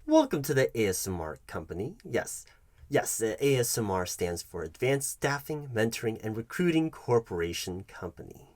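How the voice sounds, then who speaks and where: A man's voice: mid-range voice, big pitch swings, moderate pace, no echo, studio quality, natural voice. A man playing a pompous, bumbling job interviewer in a comedic roleplay, condescending and fussy, stammering and correcting himself. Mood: fussy, officious. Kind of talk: comedic ASMR roleplay addressed to the listener.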